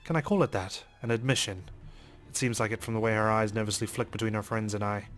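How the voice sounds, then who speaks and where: A man's voice: fairly low pitch, quick pace. A man hosting a let's play, reading a game's narration aloud with some drama.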